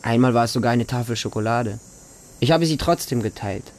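A man's voice: mid-range voice, fairly quick, close to an everyday voice. A man playing a watchful, trusting homeless boy in a radio drama monologue, speaking in simple, childlike short sentences. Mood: plain, matter-of-fact. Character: homeless street boy living with a pack of dogs, watchful, trusting, defiant.

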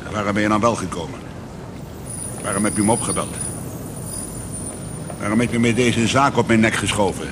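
A man's voice: fairly low pitch, close to an everyday voice. Man playing a terse police commissioner, noisy outdoor scene. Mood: insistent, burdened. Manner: terse, measured questioning. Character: middle-aged police commissioner, controlled, probing, morally burdened. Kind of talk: radio play acting.

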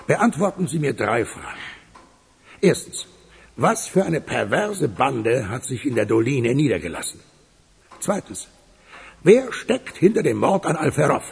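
An actor in a radio play playing an impatient, demanding police boss with a husky, gravelly, emphatic delivery. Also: male voice, medium pitch, exaggerated voice. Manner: commanding, emphatic.

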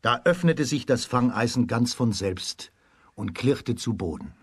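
A man with a husky voice narrating a children's radio fairy tale in a dramatic storytelling manner. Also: mid-range voice, lively intonation, a little slow, no echo, clean studio sound.

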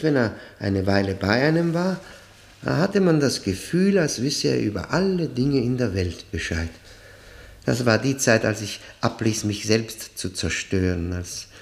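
Brooding, creaky-voiced male prisoner. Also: fairly low pitch. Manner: deliberate, halting, repeating words with grim weight. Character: embittered male life prisoner, brooding, haunted, bitterly ironic. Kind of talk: German radio play acting, dramatic monologue.

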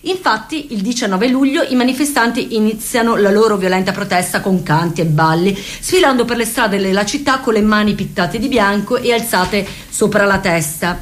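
A woman's voice: mid-range voice, normal speed, hardly any pauses. A woman narrating a scripted satirical theatre piece in an ironic, declamatory manner. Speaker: stage narrator.

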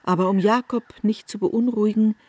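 A woman narrating a literary radio drama in a measured, restrained manner. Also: medium pitch, steady tone, a little mellow, dry recording. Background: faint instrumental music.

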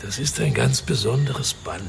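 A man playing a coarse, domineering middle-aged father in a radio drama, theatrical and gravelly. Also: medium pitch, moderate pace, background noise. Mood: dark, reflective. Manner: theatrical, swinging from purring to hushed. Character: coarse, domineering Scottish-born wealthy father confessing dark secrets.

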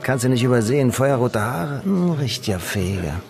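A man's voice: mid-range voice, big pitch swings, moderate pace, vocal fry. An actor in a German radio drama playing a gossipy, streetwise male bar informant with a slangy, chummy manner. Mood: sly, confiding. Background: music.

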